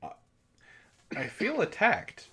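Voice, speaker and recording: Animated, higher-voiced man reading with exasperated asides. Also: slow pace, husky. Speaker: host of a comic book-club stream, reading aloud. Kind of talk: reading fan fiction aloud with mocking commentary.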